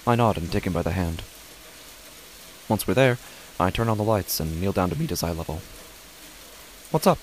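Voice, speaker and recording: A man playing an uneasy father who narrates his own horror story in a deliberate, measured way with dramatic pauses. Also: low voice, expressive, slight background noise, rough recording. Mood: grave, uneasy. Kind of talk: scripted first-person horror narration.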